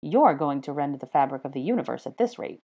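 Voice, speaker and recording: A woman voicing a brisk, bossy, clever young woman teacher, crisp and lecturing. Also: deep voice, expressive, mellow, highs cut off, heavily acted. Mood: brisk teasing.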